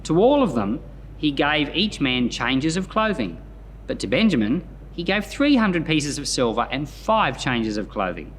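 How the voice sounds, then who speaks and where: A man reading scripture and commenting on it in an expressive storytelling manner, as a pastor hosting a Bible podcast. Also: on the high side, unhurried, slight background noise.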